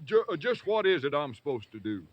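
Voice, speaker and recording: An actor playing a big, slow-witted, innocent country lad in an old-time radio comedy, hesitant and literal-minded. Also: male voice, fairly high pitch, very animated. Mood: puzzled. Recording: very noisy, muffled old radio recording.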